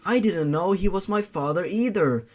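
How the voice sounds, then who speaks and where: A man's voice: sits high, lively intonation, normal speed, darkish tone, faint music behind. A man voicing a polite, composed young woman with a vengeful streak, in an audio horror story. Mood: reserved.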